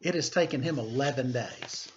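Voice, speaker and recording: A man speaking as an elementary teacher, reading aloud to pupils with deliberate emphasis and informal asides. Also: medium pitch, highs cut off, slight background noise. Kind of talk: read-aloud lesson with casual asides.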